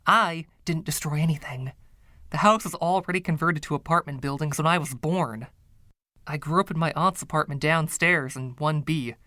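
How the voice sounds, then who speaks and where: A man's voice: on the high side, moderate pace, bright, forceful tone, no echo, clean studio sound. Man playing mild, defensive music teacher. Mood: explaining.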